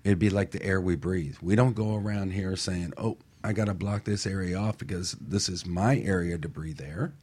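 Low-voiced husky man in earnest discussion. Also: moderate pace, few pauses, a little mellow. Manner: earnest, conversational, often checks with "right?". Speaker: radio talk show panelist. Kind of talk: casual motivational discussion.

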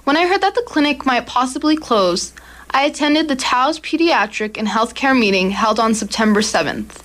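A teenage girl reading her own commentary for a youth radio program in an earnest, steady way. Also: fairly high pitch, normal speed. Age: teens. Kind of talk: read commentary.

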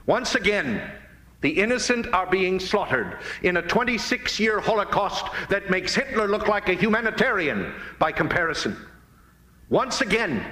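An American man preaching in a dramatic, deliberate oratorical style, with emphatic swells, long pauses and hushed phrase endings. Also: on the high side. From United States. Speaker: pastor, guest speaker. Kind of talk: fiery sermon-like political speech to a live audience.

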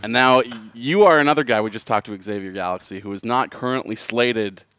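A man's voice: medium pitch, a little fast, background noise. A man co-hosting a wrestling podcast, asking guests questions in a chatty, joking way. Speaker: podcast co-host. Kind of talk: casual podcast interview.

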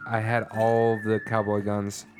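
A man's voice: on the low side, monotone, measured pace, noisy background. A man co-hosting a podcast, chatting loosely and rambling, often saying "dude". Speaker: podcast co-host. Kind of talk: casual chat.

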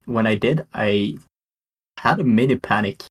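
A man's voice: lowish voice, a little slow, slightly rough. Laconic man in his thirties. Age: 30s. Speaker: podcast co-host. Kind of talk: casual chat.

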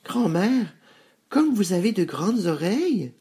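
A man's voice: sits high, very animated, slow, dark tone, voice pushed up, heavily acted. A man voicing a polite, innocent little storybook girl with a childlike, wide-eyed manner. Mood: astonished.

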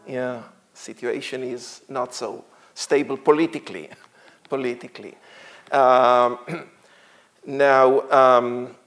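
A man in his sixties with an Israeli accent, giving a church talk as a visiting guest speaker, halting with many fillers and light laughs. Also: mid-range voice, fairly even intonation, fairly bright tone. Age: 60s. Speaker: guest speaker, tour guide.

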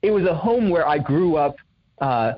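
A man's voice: on the high side, lively intonation, moderate pace, muffled recording. A young man raised in Indonesia, a guest author answering interview questions thoughtfully, with many fillers and restarts. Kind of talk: reflective interview conversation.

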